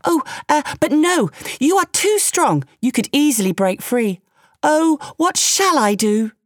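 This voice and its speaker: In a storybook telling, a crafty little man fusses and flatters in a high, hurried voice, nervous on the surface and scheming underneath, as a woman puts him on. His pitch jumps well above a normal speaking voice. The sound is dry and close. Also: no echo. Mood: fawning, mock-flustered. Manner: flustered, ingratiating, high and quick. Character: sly fawning man tricking a tiger.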